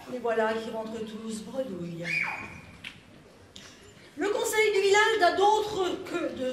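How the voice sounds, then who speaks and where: Woman, storyteller, slow pace, scripted narration, echoey room, distant recording. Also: sits high. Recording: roomy, distant mic, some background noise.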